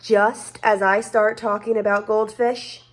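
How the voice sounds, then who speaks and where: A woman reading a children's story aloud in an expressive way, stretching words for dramatic emphasis. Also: medium pitch, measured pace. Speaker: storybook reader.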